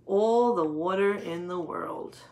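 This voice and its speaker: Slow-paced woman teacher reading aloud. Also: on the low side, warm tone, a little hiss or hum, low-quality recording. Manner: didactic, pausing to question the listener and confirm answers. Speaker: teacher reading a picture book to children. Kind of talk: read-aloud lesson with questions to young children.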